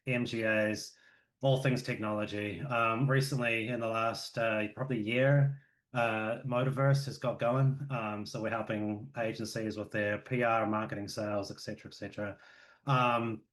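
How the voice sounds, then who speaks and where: A man from New Zealand with a New Zealand accent, chatting casually as a podcast guest, relaxed and full of fillers. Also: medium pitch, poor audio. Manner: relaxed, filler-heavy, with quick asides.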